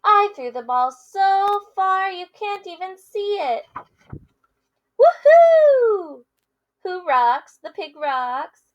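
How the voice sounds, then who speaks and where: An exuberant little girl pig from a children's storybook , played by a woman reading aloud. The voice is high and singsong, full of boastful crowing, and takes its time over the words. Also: big pitch swings, slow, exaggerated voice. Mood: triumphant. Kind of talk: children's picture book read-aloud.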